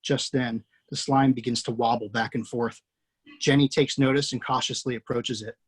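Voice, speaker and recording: A man reading screenplay action lines aloud in a steady, matter-of-fact way. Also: mid-range voice, moderate pace, husky. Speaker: screenplay reader.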